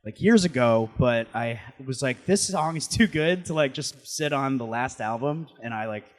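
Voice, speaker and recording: A man chatting casually as an interviewee, loose and halting as he thinks aloud. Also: medium pitch, expressive. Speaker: band guitarist and singer, interviewee.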